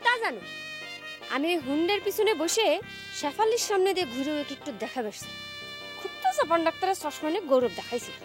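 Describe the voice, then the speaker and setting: A proud, demanding village woman in a comic TV drama. Her very high voice rattles along fast and sharp-tongued in a rural Bangladeshi dialect, over faint music. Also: lively intonation. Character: pushy, proud village sweetheart.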